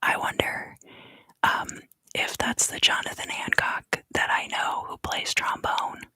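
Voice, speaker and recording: A woman giving an ASMR card reading for a live stream in a soft, unhurried, often fully whispered voice, close to the microphone. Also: no echo. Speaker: ASMR tarot reader and live stream host.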